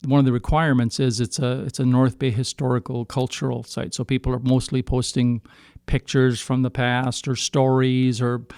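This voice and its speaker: A middle-aged man from Canada speaks in a smooth, clear voice, captured close and dry. He tells his story casually, in a halting way, doubling back to reword himself as he goes. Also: medium pitch, flat delivery, a little mellow, no room sound. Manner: halting, circling back to rephrase. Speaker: singer-songwriter guest. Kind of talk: casual storytelling in a podcast interview.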